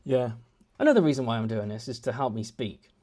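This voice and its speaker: A man's voice: mid-range voice, expressive, fairly quick, dark tone, a little hiss or hum. A young man chatting at home in a casual vlog, rambling and self-deprecating with many restarts. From United Kingdom. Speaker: vlogger. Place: home, indoors.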